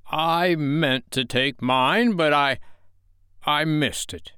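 A man's voice: on the high side, slow pace, sharp tone, clear, resonant tone, no echo, studio quality, voice pushed up. A man reading a storybook as a blustering, cowardly, self-important middle-aged father who talks in pompous, indignant retorts. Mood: blustering, defensive.

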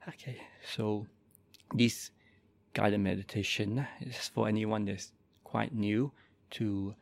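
A Buddhist monk in his forties from Malaysia, speaking softly and calmly as he introduces and leads a guided meditation. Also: fairly low pitch, creaky. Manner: slow, soft-spoken and calm, with gentle pauses.

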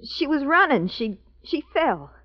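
A woman's voice: sits high, unhurried. An actress playing a brash, jittery young woman putting on bravery in 1940s radio horror style, on a dull old recording. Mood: defiant, rattled. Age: young adult. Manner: brash, excitable.

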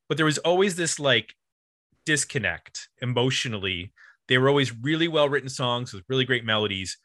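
A man with a bright, forward voice in casual album discussion. He talks in a loose, rambling, conversational way as a host, and the sound is dry and close. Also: medium pitch, no echo. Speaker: music review podcast host.

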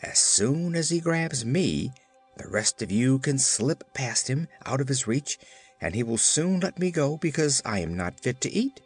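A man's voice: mid-range voice, big pitch swings, unhurried, slightly rough, soft background music. A man voicing an earnest, practical living scarecrow in a storybook, with a plucky, matter-of-fact manner. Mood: earnest, planning.

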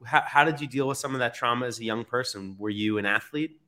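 Man hosting, mid-pitched voice. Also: fast talker, bright, forceful tone. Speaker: podcast host. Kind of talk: casual conversational interviewing and storytelling.

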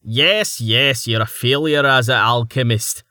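A male narrator voicing a belligerent, loud-mouthed man who shouts and mocks the others. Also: medium pitch, measured pace, no echo, clean studio sound. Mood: angry. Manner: shouting, mocking.

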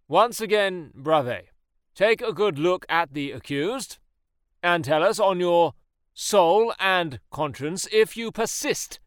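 A man's voice: a little high, clean tone, no room sound, clean studio sound. An actor playing a stern male court president with formal, commanding speech. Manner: formal, commanding, official cadence. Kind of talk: radio drama acting.

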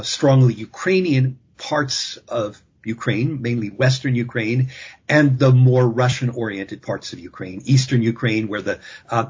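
A man with a very husky, breathy voice talks through a panel discussion as an expert guest, careful and measured, often circling back to restart a phrase. His voice comes through a dull, lo-fi line. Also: mid-range voice, a little mellow. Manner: measured, thinking aloud with frequent restarts.

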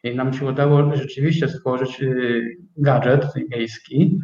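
A man explaining things as an interview guest, measured and searching for his words. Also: mid-range voice, fairly even intonation, mellow. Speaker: interview guest, company co-owner. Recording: echoey, dull, distant from microphone.

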